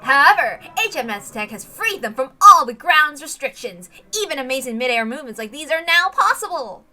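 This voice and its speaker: A woman's voice: high voice, very animated, a little fast, sharp tone, soft background music, pitched above the performer's own voice, heavily acted. A woman voicing a rousing military promotional video announcer in a grandiose, proclaiming manner. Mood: emphatic.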